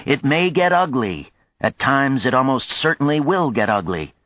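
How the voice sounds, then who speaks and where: An exhilarated male space colonist acted by a man reading an audiobook delivers impassioned oratory. The voice is lifted in pitch and declamatory. The sound is roomy and a touch muffled. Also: sing-song, normal speed, natural voice. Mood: rousing, earnest. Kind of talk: audiobook character dialogue.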